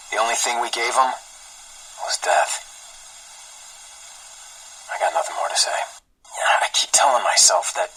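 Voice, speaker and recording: A breathy, haunted, guilty scientist. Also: male voice, on the low side, pushed and bright, full character voice, poor audio. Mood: guilty, grieving. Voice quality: breathy, hoarse half-whisper. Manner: halting, heavy, confessional. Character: guilt-ridden, fearful, haunted military scientist aboard a ship. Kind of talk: dramatic video game audio log.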